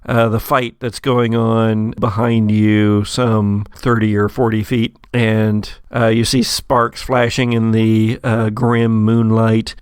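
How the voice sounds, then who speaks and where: A man's voice: on the low side, clean tone, dry recording, studio quality. A man narrating a tabletop horror game as game master, in a descriptive storytelling manner.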